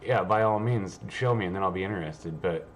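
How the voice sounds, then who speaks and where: A man's voice: deep voice, a little fast, a little hiss or hum. A man hosting a livestream, rambling casually and reading news aloud in a blunt, sweary, offhand way. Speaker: livestream host.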